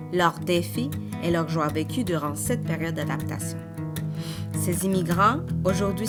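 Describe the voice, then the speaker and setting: A woman with a Québécois French accent hosting a podcast, reading a scripted intro, then chatting with many fillers and restarts. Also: on the low side. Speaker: podcast host.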